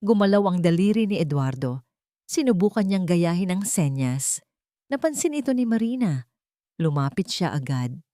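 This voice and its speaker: Expressive, lower-voiced woman telling a drama. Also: moderate pace, no echo, studio quality. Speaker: storyteller. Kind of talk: dramatic scripted story narration.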